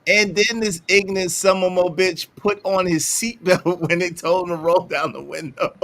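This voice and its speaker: This man hosts a loose streamed conversation, animated and quick to laugh. He slips into the voices of the people he quotes. His tone is bright and forward, and his pitch sits a little high. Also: no echo. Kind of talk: casual group chat. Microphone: mic on live stream.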